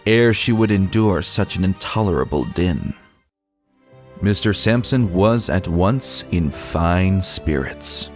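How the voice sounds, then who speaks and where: Male narrator, lower register, for classic literary short fiction; measured storybook read over a music bed. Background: background music.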